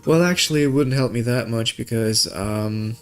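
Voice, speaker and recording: A man's voice: mid-range voice, moderate pace, faint music behind. A man talking casually as a game streamer, thinking aloud in informal, slangy language. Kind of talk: casual gaming stream chat.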